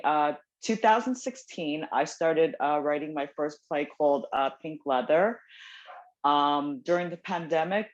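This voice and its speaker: Chatty woman, muffled call audio. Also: low-pitched. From South Korea, raised in New Jersey. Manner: chatty, many ums, self-deprecating, laughs easily. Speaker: playwright guest. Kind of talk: casual interview chat. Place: home, dog barking nearby.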